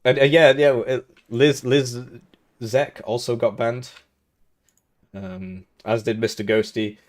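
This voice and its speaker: A man's voice: medium pitch, fairly even intonation, slow. A young man hosting a live game tournament stream, talking casually with jokes and chuckles while commentating the match. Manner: rambling, joking, chuckling between remarks. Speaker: tournament host and caster.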